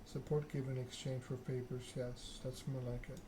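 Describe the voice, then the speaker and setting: A man's voice: medium pitch, flat delivery, moderate pace, noisy background. A man doing an ASMR read-aloud of a narrative game, occasionally stumbling over the written text. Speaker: gaming channel host.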